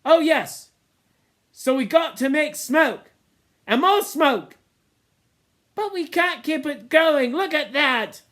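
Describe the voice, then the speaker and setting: A man's voice: very high-pitched, sing-song, measured pace, fairly bright tone, pitched above the performer's own voice, exaggerated voice. A man voicing an anxious, fussy schoolboy with asthma, pleading and insistent. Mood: urgent.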